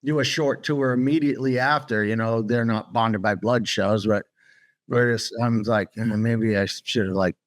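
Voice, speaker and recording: A man's voice: mid-range voice, fairly even intonation, normal speed. A man in his sixties, tired, talking bluntly and swearing freely in a casual interview chat over a video call. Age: 60s. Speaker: guest guitarist.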